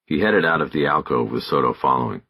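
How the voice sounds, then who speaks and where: A man reading scripted narration of a crime thriller audiobook in a gravelly, husky voice. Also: rumbling low, fast, bright, forceful tone.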